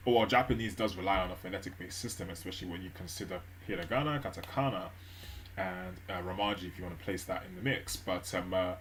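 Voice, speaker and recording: A man live-streaming his language study, thinking aloud with many fillers and restarts at a gentle pace. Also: fairly low pitch, slight background noise, rough recording. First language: English. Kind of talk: relaxed study stream narration.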